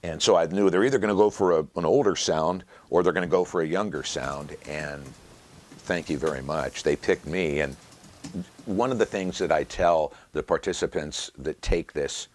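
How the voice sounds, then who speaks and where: A man's voice: sits low, normal speed, slight background noise. An American man in his sixties, a professional announcer, talking reflectively about his career as in an interview. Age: 60s. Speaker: stadium announcer and voiceover artist.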